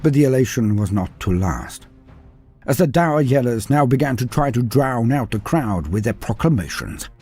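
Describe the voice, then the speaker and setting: A man with a husky voice reading a fantasy tale in a dry, wry storytelling manner. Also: mid-range voice, expressive, normal speed, a little mellow, faint music behind. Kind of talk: scripted fantasy narration.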